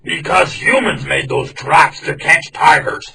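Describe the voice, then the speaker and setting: A man reading a storybook aloud, voicing an ungrateful hungry tiger that pleads and shouts. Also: on the high side, a little slow, creaky, recorded from a distance, slight background noise, pitched above the performer's own voice, full character voice.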